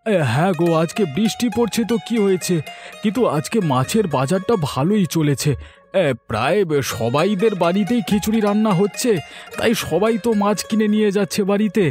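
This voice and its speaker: A man's voice: sits high, a little fast, darkish tone, faint music behind, natural voice. A man voicing a meek village fishmonger husband in a cartoon, hesitant and placating. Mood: meek, obliging. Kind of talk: Bengali cartoon dialogue dubbing.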